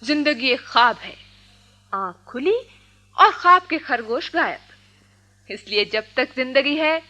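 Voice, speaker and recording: High, bright, theatrical playful girl. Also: expressive, takes it slowly, full character voice. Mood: mock-poetic, playful. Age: young adult. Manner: teasing, mock-poetic, dramatic. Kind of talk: Hindi radio play acting.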